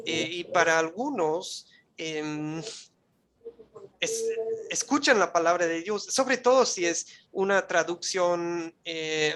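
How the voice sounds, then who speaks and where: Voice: medium pitch, a bit bright. A Bible course teacher giving a live online class in Spanish, which is not their first language, talking conversationally, often checking in with the listeners and restarting phrases while searching for words. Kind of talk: live online class lecture, closing with a prayer.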